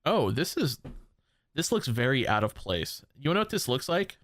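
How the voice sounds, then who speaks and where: A man co-hosting a gaming podcast, excitable and jokey, swearing freely in casual chat. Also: mid-range voice, moderate pace. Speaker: podcast co-host.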